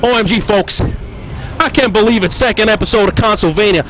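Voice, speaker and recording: A man's voice: high-pitched, a little fast, a bit bright, slight background noise. A man playing a brash, foul-mouthed games show host who swaggers and talks in a crude, folksy way. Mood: boastful. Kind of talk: comedic presenting and street interviews. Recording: music behind, improvised handheld microphone.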